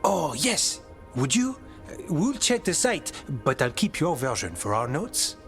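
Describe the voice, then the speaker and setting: An actor playing a gentle, earnest, nature-loving warrior man in a video game, speaking in short, soft-hearted phrases. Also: fairly high pitch, sing-song, unhurried, full character voice. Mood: tender, wondering. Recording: clear music behind the voice.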